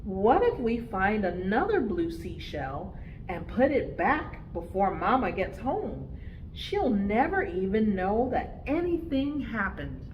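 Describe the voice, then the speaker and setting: Woman as an insistent, lively young bear cub. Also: medium pitch, takes it slowly, highs cut off, slight background noise. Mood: scheming. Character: young bear cub, confident, scheming leader with ideas. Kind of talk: picture-book storytime character voice.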